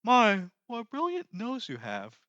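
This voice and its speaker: A performer voicing a lanky skeleton Pumpkin King from an animated musical, grandiose, excitable and melodramatic, with sweeping theatrical delivery. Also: medium pitch, a little slow, dry recording, lo-fi sound, full character voice. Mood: excited. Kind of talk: fan re-recording of animated musical film lines.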